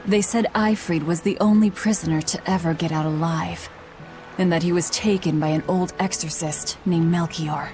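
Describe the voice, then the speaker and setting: Actress as young female avenger, lowish pitch, cold, curt, blunt, dramatic game acting, light music behind. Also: fairly even intonation, moderate pace, darkish tone, natural voice.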